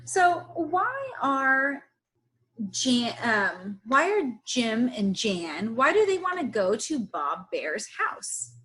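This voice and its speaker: A woman with a high voice teaches through a children's read-aloud. Her pitch moves in lively swings and her pace is unhurried. She speaks in an instructional way and pauses to put questions to her young listeners. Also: low-quality recording.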